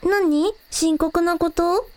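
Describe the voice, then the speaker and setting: ラジオドラマで、率直で面倒見のよい元気な若いアイドルの女の子を演じる女性。. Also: female voice, extremely high pitch, steady tone, very slow, a little mellow, heavily acted. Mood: curious. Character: energetic young village idol girl, frank and caring. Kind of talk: amateur anime-like radio drama acting.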